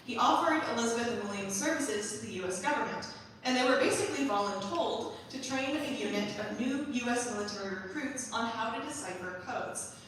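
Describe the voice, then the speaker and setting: A woman introducing her musical at a staged reading, explaining the story in a clear, prepared way. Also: on the high side, brisk, a bit bright. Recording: echoey hall from far off, faint noise.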